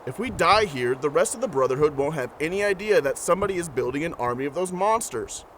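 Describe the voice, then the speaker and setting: Man acting a pragmatic soldier, tense and sweary, somewhat high, lively pitch, faint background noise, radio play. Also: quick pace. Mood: urgent, reasoning. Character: pragmatic, gruff soldier-scribe, sweary and exasperated. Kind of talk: post-apocalyptic audio drama acting.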